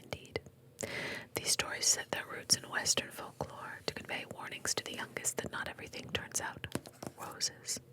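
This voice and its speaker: A woman reading fairy tales aloud in a breathy, unvoiced ASMR whisper, slow and deliberate. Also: low-quality recording. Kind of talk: whispered ASMR storytelling with asides.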